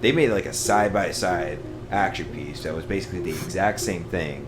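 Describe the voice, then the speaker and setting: A man talking casually as a podcast panelist, explaining his opinion in a halting way with lots of "like" and "kind of". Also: low-pitched. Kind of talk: casual chat.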